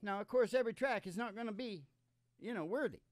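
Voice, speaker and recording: A man's voice: sits high, fast talker, no echo, clean studio sound. A man in his sixties hosting with playful, theatrical, rambling folksy comic radio patter into a stage microphone. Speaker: host and country singer. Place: small curtained stage, indoors. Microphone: stand microphone. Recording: live stage, acoustic guitar behind. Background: acoustic guitar.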